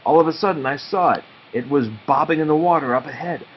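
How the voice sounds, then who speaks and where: A man narrating a children's story aloud expressively and deliberately, with dramatic pauses. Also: on the high side. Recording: muffled audio with faint background noise.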